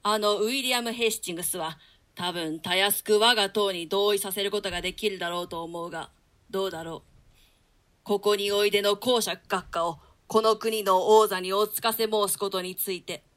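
A woman's voice: mid-range voice, fairly even intonation, normal speed, a bit bright, lightly acted. A woman performing a scheming, commanding male nobleman in a theatrical Shakespeare reading. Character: scheming, commanding nobleman, grown man, conspirator. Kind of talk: theatrical Shakespeare reading in archaic Japanese translation.

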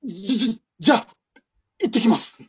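A man's voice: high-pitched, expressive, fairly quick, husky, lo-fi sound, pitched above the performer's own voice, exaggerated voice. A man playing a timid, nervous, unimpressive husband and father in an amateur radio drama, stammering and hesitant. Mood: flustered, nervous.

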